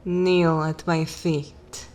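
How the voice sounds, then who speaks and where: A woman playing a seductive, cruel and jaded vampire mistress in a dark fantasy ASMR roleplay, speaking breathily in slow, commanding, theatrical phrases. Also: low voice, level tone. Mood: bored, commanding. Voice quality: breathy whisper.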